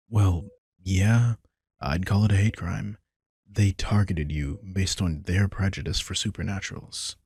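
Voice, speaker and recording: Male actor as protective vampire king for intimate audio roleplay: very low, gravelly, calm and reassuring. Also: no room sound, full character voice. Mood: concerned, calm.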